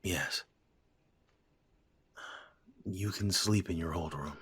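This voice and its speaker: A man voicing a gruff, stubborn middle-aged father with a raspy voice, blunt and scolding, trailing into hesitant pauses. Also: sits low, dry recording, heavily acted. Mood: subdued. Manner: blunt, scolding, softening into hesitant halting speech. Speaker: voice actor. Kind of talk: audio drama acting.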